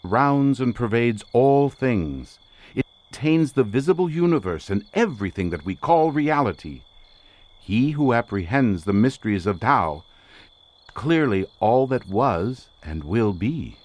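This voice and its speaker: An actor playing an intense, imperious occult researcher in an audio drama, speaking in a formal, grandiloquent, theatrical manner. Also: male voice, mid-range voice, sing-song, unhurried, darkish tone, dry recording. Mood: rapturous.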